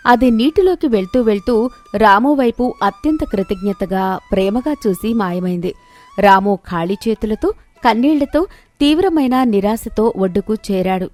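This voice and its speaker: A woman's voice: mid-range voice, normal speed, a little mellow, faint music behind. A woman narrating a scripted moral story in a dramatic storytelling style.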